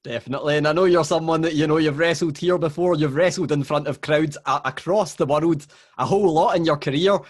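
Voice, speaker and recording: Scottish guy interviewing, bit high voice, polite and chatty. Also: a little fast. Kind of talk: interview questions.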